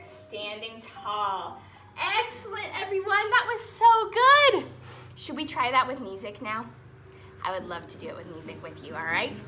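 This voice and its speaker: High-voiced, lively woman teaching children ballet. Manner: encouraging, praising as they go. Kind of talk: instructing a children's dance class. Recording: echoey room, distant mic, music behind.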